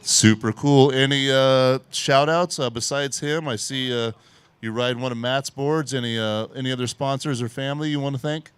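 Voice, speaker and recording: Male surf co-host and interviewer, smooth clear voice, dry close sound, casual questioning. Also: medium pitch, moderate pace, dry recording. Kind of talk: casual post-heat interview.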